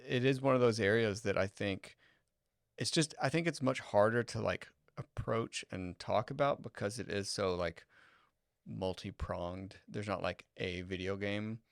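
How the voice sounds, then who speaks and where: A man co-hosting a podcast, giving relaxed, thoughtful opinions in casual chat. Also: on the low side, gravelly, clear, resonant tone, no room sound, clean studio sound. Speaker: podcast co-host.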